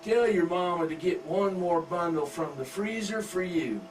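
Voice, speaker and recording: A man voicing a crude, indulgent, rich middle-aged country husband with a loud, coarse drawl. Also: high voice, moderate pace, voice pushed up, lightly acted. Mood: gruff. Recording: distant mic with faint hum.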